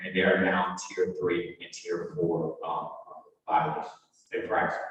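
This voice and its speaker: A man with a low voice delivers a formal presentation in the manner of a school administrator explaining policy. He sounds far from the microphone, and his words ring through a large, very reverberant meeting room. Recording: very echoey, reverberant hall, distant mic.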